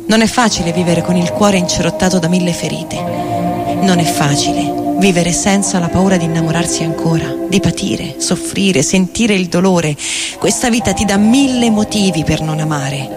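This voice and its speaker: Female reader for a confessional dramatic monologue, solemn, measured, guitar and loops clearly behind. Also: on the low side. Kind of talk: dramatic literary monologue over music. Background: guitar and electronic loops.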